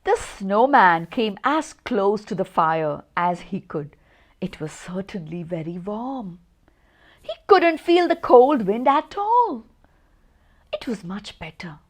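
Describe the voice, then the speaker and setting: A woman storyteller reading aloud to children, expressive, with deliberate dramatic pauses and emphasis. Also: medium pitch. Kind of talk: expressive read-aloud narration.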